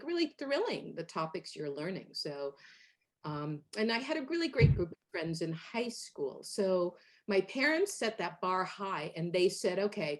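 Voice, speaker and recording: Lower-voiced woman telling her story. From Hungary. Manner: animated storytelling with frequent fillers and laughs. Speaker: interview guest. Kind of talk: conversational interview.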